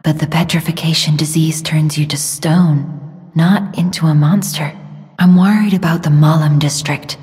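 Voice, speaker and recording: An actress playing a gentle, sorrowful young mystical woman in a video game, speaking softly and breathily in a solemn, earnest, measured way. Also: low-pitched, steady tone, lightly acted. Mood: calm, concerned. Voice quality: soft, breathy, airy. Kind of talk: dramatic video game voice acting. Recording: long reverb, music behind the voice.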